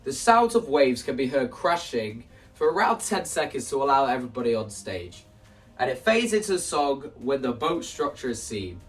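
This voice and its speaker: A young man talking casually and explaining his creative ideas in an animated, theatrical way. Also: medium pitch, moderate pace, distant mic, soft background music. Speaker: video creator presenting a stage play concept.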